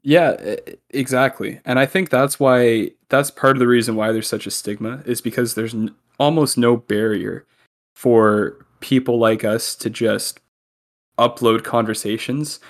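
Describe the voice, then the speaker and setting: A man's voice: medium pitch, steady tone, unhurried. A young man, a guest on a podcast, joking and telling stories in casual chat while recording remotely over a call. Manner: chatty storyteller, joking.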